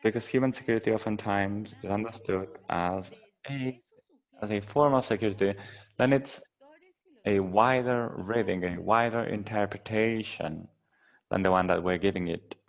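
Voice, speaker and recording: A man with a Colombian accent who moderates a panel discussion and interprets the speakers into English, in a measured, formal way. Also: lowish voice, gravelly.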